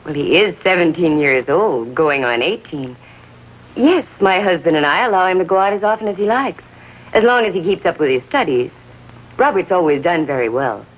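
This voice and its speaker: A woman's voice: fairly low pitch, animated, moderate pace, poor audio. A woman playing a middle-aged American mother in a 1950s TV drama, genteel, earnest and insistently defensive. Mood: defensive, trusting. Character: defensive, trusting, genteel mother of a teenage boy.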